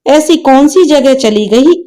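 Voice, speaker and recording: A woman's voice: expressive, slow pace, dark tone. A woman voicing a young village boy who pleads in a raised, childlike voice. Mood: insistent. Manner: pleading, high childlike delivery.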